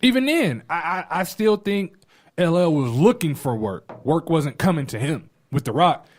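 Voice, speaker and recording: A man's voice: on the high side, big pitch swings, moderate pace. A man on a podcast panel debating casually in a blunt, profane way, often repeating himself.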